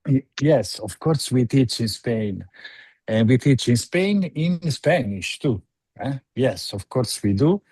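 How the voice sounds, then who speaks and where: A man answering an audience question as a seminar panelist, emphatic, with halting phrasing. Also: medium pitch, lively intonation, a little mellow, husky, dry recording. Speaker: head of education, panelist. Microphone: video call.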